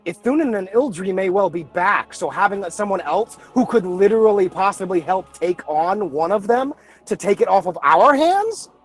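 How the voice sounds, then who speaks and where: A man's voice: high voice, lively intonation, moderate pace, hardly any pauses. A man talking casually as a tabletop game player, reasoning aloud in a conversational way. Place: online group voice call, livestreamed game.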